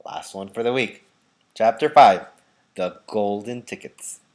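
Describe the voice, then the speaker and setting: A man reading a children's book aloud expressively, moving dramatically between projected and hushed delivery. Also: on the low side, unhurried.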